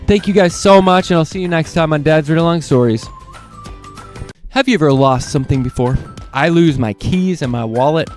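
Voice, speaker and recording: A man hosting a children's read-along, reading picture books aloud in an animated way and speaking directly to young listeners. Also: fairly high pitch, moderate pace, faint music behind.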